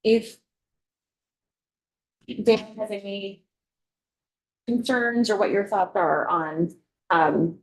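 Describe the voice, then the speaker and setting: A woman state representative questioning a witness at a committee hearing, pausing and rephrasing as she goes. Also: mid-range voice, low-quality recording. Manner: hesitant, rephrases her questions.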